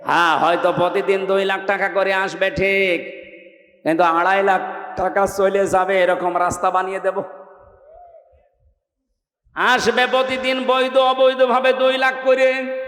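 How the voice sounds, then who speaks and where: A Bangladeshi man preaching an Islamic sermon to a gathering in an emphatic, exhorting way, swinging between raised cries and hushed lines over a public-address microphone. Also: high-pitched, level tone, measured pace, long pauses. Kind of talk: sermon to a public gathering, with chanted scripture recitation. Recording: echoing loudspeaker sound, long reverb.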